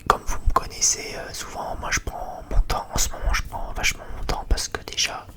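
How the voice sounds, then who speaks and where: A man gives a whispered ASMR chat in a halting, rambling and hesitant way. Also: pushed and bright. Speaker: ASMR video creator.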